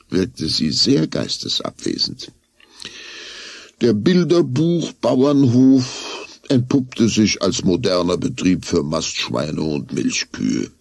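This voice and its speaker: Deep, gravelly male storyteller. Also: no echo. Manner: dramatic, suspenseful delivery. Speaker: narrator. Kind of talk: scripted storytelling for a children's radio play.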